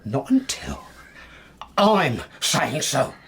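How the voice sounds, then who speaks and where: An actor playing a domineering, pompous English husband and father in a radio drama, blustering and excitable, with an English accent. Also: medium pitch, extremely slow, slightly rough, heavily acted. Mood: forced calm.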